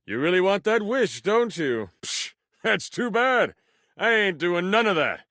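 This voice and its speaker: A man puts on a defiant, mocking djinn for a fantasy game. The voice is high and bouncy, recorded dry and close. He talks in brash, slangy taunts, full of swagger. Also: sing-song, a little slow, pushed and bright, dry recording, full character voice. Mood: taunting, defiant. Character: cocky, defiant male djinn, taunting a young mage.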